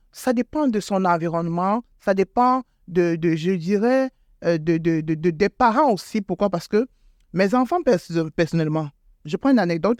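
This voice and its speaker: A woman's voice: fairly low pitch, a little mellow, dry recording, studio quality. A woman who owns a beauty salon, answering interview questions in a hesitant way, with many fillers and repeated words, and rising sharply when she stresses a point. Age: adult with school-age children. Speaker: beauty salon owner, guest.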